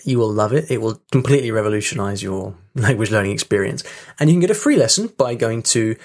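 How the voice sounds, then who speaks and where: A man's voice: lowish voice, lively intonation, fast talker. Chatty man hosting a podcast. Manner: chatty, rambling with restarts and light laughs. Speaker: podcast host. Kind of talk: casual solo podcast chat. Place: home room with rain on the windows.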